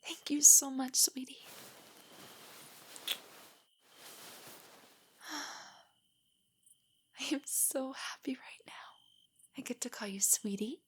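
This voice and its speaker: A woman performs a nerdy young girlfriend in an intimate audio drama. Her voice is fairly high and breathy, often slipping close to a whisper. She is nervous, self-doubting and affectionate, hesitating and stammering as she speaks. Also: slow, heavily acted. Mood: tender, happy.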